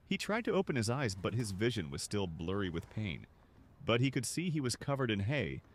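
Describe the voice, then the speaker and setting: Brief: male narrator for dramatic fiction, low voice with lively rise and fall, expressive scripted read. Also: a little hiss or hum. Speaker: narrator and voice actor.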